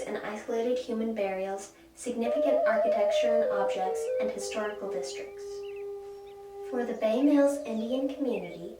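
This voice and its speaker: A woman reading a formal statement in steady, scripted narration. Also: fairly high pitch, echoey room, far from the mic, heavy background noise.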